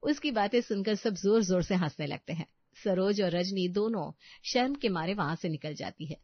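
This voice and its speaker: Female storyteller, scripted narration, middle pitch, close dry but muffled audio. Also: animated, moderate pace, no echo.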